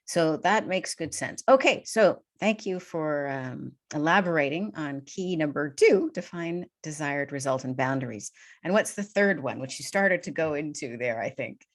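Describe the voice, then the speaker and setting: A woman's voice: deep voice, animated, normal speed, hardly any pauses. A woman interviewer in a conversational discussion, thoughtfully probing and summing up what she is told. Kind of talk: conversational interview, recapping and questioning.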